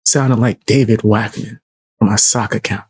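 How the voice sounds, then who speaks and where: A man with a naturally low, deep voice chats through a live stream in relaxed, slangy asides. His voice sounds close and dry, with no room echo around it. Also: normal speed, husky. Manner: laid-back, slangy, chuckling asides. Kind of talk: casual reaction commentary.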